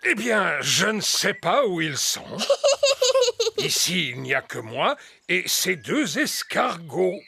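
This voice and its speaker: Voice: mid-range voice, wildly swooping pitch, slow pace, gravelly, pushed and bright, a little hiss or hum, heavily acted. An actor voicing a jolly, kindly old grandfather pig in a French-dubbed preschool cartoon.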